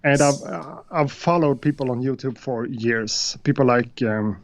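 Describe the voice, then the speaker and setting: Brief: Swedish-accented man, non-native English speaker, halting guest talk, dull dry call audio. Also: medium pitch, no echo. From Sweden. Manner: hesitant, many uhs and restarts. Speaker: guest beatmaker. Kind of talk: casual interview chat.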